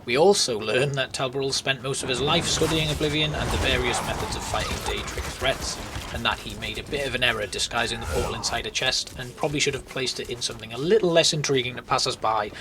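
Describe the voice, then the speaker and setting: A man's voice: mid-range voice, brisk, background noise. A man giving informal, explanatory commentary as the host of a video game walkthrough. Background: music.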